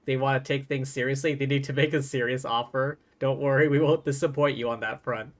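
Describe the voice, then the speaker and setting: A man's voice: medium pitch, steady tone, fairly quick. A man livestreaming a game, reading dialogue aloud and adding playful, wry, casual asides. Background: game music.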